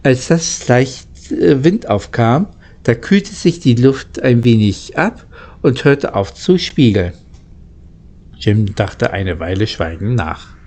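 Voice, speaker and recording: A man reading a children's story aloud as an amateur narrator, haltingly, stumbling and rereading phrases. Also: mid-range voice, lively intonation, darkish tone.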